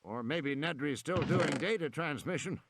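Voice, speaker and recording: A man's voice: a little high, expressive, normal speed, a bit bright, no room sound. A man voicing a genial, stubborn, sentimental man in his seventies for an audiobook, insistent and lingering on his words. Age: 70s. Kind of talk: audiobook character acting.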